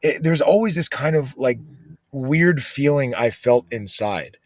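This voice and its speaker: A man's voice: medium pitch, animated, measured pace, dry recording. A man being interviewed as a guest author, talking casually and earnestly with many fillers like "you know".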